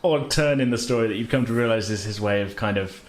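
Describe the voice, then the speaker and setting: A man's voice: on the low side, fast talker. A man working as game master, narrating casually in an informal, hesitant way. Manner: informal, hesitant, chuckling asides.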